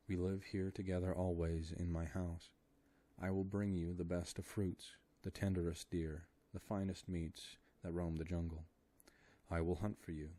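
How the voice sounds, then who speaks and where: A man's voice: low-pitched, dark tone. A man reading out the simple, blunt declarations of an earnest young man raised in the jungle.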